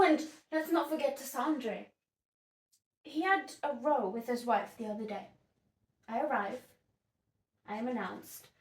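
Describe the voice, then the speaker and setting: A woman playing an opinionated, indignant young woman in a classical stage monologue, dramatic, emphatic and sardonic. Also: high voice. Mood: sardonic, outraged. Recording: echoey room, distant microphone.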